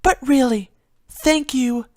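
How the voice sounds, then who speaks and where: A woman voicing a gentle, shy young pony character in a story reading, soft and sweet. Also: sits high, slow pace, no echo, studio quality, pitched above the performer's own voice. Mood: happy, shy. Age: young adult. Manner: sweet, timid.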